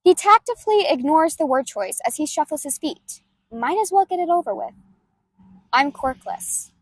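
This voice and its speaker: A woman with a high-pitched voice narrates like a hobby audiobook reader. Her delivery is expressive and informal. The sound is dry, free of room reflections. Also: moderate pace, dry recording. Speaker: amateur fan fiction reader. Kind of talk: expressive story narration and casual asides. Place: outdoors in a park near a road.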